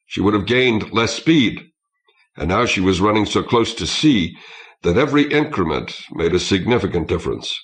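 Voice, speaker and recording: Low-voiced man narrating with lively intonation. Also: moderate pace, poor audio. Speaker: audiobook narrator. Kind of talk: scripted narration of a science fiction story. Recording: old cassette audiobook transfer.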